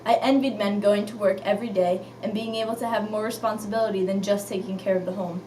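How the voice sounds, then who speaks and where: A woman's voice: medium pitch, fairly even intonation, fast, darkish tone, lightly acted. A woman playing an earnest 1950s news anchor, reading scripted items in an amateur mock newscast. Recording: echoey room, distant mic, faint noise.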